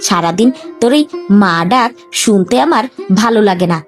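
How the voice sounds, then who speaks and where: A woman's voice: medium pitch, animated, normal speed, soft background music, close to an everyday voice. A woman voicing a cruel, sarcastic village stepmother in a cartoon, nagging and taunting with sneers. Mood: scornful. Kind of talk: Bengali cartoon voice acting.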